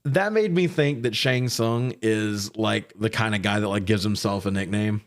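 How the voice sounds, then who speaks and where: A man's voice: fairly low pitch, moderate pace. A man co-hosting a casual, opinionated film review in slangy, profane conversational speech. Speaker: podcast co-host reviewing films.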